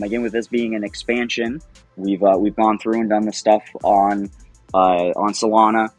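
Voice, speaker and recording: Thirties man, interview guest on a webcam, chatty and upbeat, low voice, dry and close. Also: dry recording. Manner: rambling, upbeat, laughs often. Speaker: guest, project founder. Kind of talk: casual interview over video call. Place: home, by a window. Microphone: webcam on video call.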